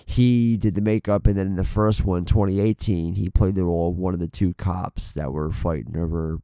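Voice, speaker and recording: A man's voice: low voice, fairly even intonation, moderate pace, dark tone, no echo. A man with a stuffy head cold, co-hosting a casual film podcast, rambling with many fillers and casual swearing into a desk mic. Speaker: podcast co-host. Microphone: desk mic on an arm.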